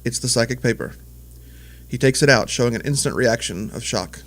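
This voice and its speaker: A man's voice: mid-range voice, fairly quick. Male audio drama narrator, faint hum.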